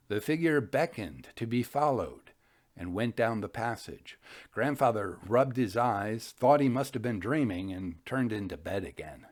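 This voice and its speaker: A man hosting a storytelling show, reading old ghost tales and commenting on them in a measured, literary way. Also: medium pitch. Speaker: podcast host and storyteller.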